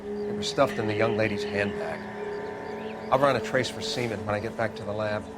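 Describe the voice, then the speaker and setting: A man's voice: on the low side, fairly quick, over loud music, close to an everyday voice. A man voicing a matter-of-fact 1940s coroner who explains evidence in a clinical tone.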